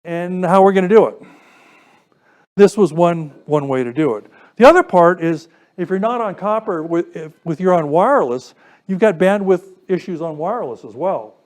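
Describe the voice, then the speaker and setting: Man, high-pitched voice, informal technical presenter, explanatory, questioning delivery. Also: animated, moderate pace, darkish tone. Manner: explanatory, poses questions to listeners. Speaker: presenter giving a technical talk to a radio club.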